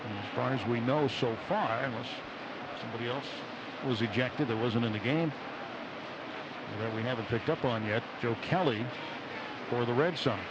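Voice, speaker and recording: A man does live baseball play-by-play in a mid-pitched voice. He describes the action in measured, short phrases with pauses between them. The radio sound is dull, with heavy outdoor stadium noise behind him. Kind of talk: live radio sports commentary. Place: outdoor ballpark broadcast booth. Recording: dull radio broadcast, loud stadium ambience. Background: loud outdoor ballpark ambience.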